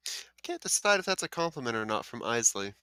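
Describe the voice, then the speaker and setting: Bright-voiced man thinking aloud. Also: medium pitch, very animated, fast, smooth, no echo. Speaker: guest co-host. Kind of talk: casual banter on a livestream.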